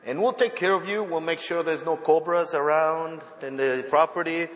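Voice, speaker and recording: A man whose first language is not English, preaching as a visiting missionary in a church, halting with many fillers and restarts and joking at his own expense. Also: a little high, a bit bright. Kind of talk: sermon. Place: church sanctuary. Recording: church hall reverb.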